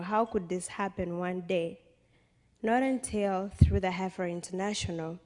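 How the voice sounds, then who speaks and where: A young woman with a Ugandan accent tells her own story as a speech. Her voice sits a little low for a woman, with earnest emphasis. The podium microphone rings through a big, reverberant hall. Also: dark tone. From western Uganda. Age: young adult. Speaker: guest speaker, college student. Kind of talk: personal testimony speech to an audience. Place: stage in a large hall.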